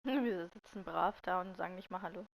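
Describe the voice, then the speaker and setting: A woman's voice: lowish voice, brisk, vocal fry. A woman giving casual let's-play commentary as a gaming channel host, with game sound around her.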